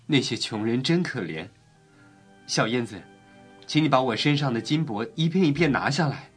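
A male actor playing a gentle, compassionate, sorrowful young prince in a fairy-tale drama, with an earnest, pleading, tender delivery. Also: medium pitch, animated, moderate pace, breathy edge. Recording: faint music bed. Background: faint music.

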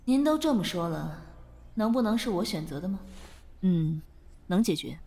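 A woman's voice: fairly low pitch, sing-song, normal speed, mellow, in a large hall. An actress playing a self-assured female finance director in an audio drama, curt, composed and businesslike. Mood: guarded, irritated. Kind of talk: Chinese audio drama acting.

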